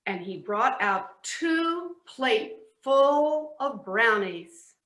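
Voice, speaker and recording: A woman's voice: fairly high pitch, sing-song, drawn-out words, a bit bright. A woman narrating a children's story for a readers theatre performance in an echoey room.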